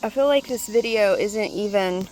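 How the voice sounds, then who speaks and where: A woman vlogging a casual walk-through of her home garden, speaking in a chatty, relaxed way with a hoarse, congested voice. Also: medium pitch, moderate pace, a little hiss or hum, low-quality recording. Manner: chatty, relaxed, thinking aloud.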